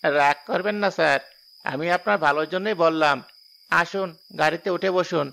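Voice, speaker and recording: A man's voice: a little high, normal speed, vocal fry, bright, forceful tone. A man voicing a deferential, superstitious village bullock-cart driver in a cartoon, respectful and cautioning in a rustic way.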